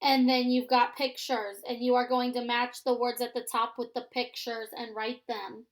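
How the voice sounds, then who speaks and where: A woman's voice: fairly high pitch, flat delivery, moderate pace. A woman teaching young children in a read-aloud lesson, giving simple instructions and checking in as she goes. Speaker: elementary school teacher.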